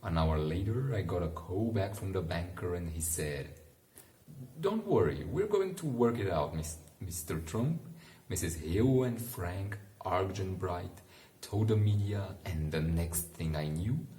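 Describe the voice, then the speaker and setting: Male bedtime reader, very low and unhurried, informal and musing, recorded in a roomy, echoing space. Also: lively intonation, mellow. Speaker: bedtime story reader and commentator. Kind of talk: relaxed read-aloud with casual asides to help listeners sleep.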